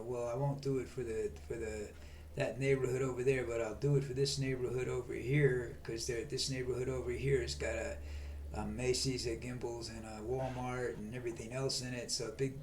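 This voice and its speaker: An older man hosting a rambling vlog monologue, with a monotone voice and a halting way of talking full of fillers and restarts. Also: mid-range voice, slight background noise. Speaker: vlog host.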